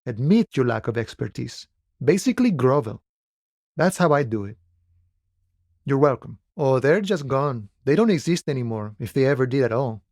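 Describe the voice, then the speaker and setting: An actor playing an easygoing, philosophical dock worker with cowboy airs in a video game, musing in an unhurried, folksy way. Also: male voice, mid-range voice, animated, a little mellow, no echo, studio quality. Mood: easygoing, wry. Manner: unhurried, folksy musing, calls people friend and boya. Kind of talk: video game character dialogue.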